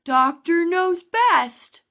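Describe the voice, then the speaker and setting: A woman plays a boastful papa bear in a children's storybook. He is stubborn and blustery, stumbling over his words as sneezes take hold, and his voice is bright and forceful with lively swings in pitch. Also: drawn-out words, heavily acted. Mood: sneezy, stammering. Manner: stammers into big sneezes.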